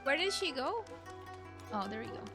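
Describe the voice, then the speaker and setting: A woman's voice: high-pitched, sing-song, moderate pace. A woman streamer giving casual, rambling reaction commentary while she plays a video game. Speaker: gaming streamer. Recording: game music behind the voice.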